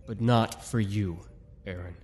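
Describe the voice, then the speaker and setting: A man's voice: on the low side, unhurried, darkish tone, a little hiss or hum, lightly acted. A man voicing a grieving young soldier who is defensive and sarcastic. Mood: bitter.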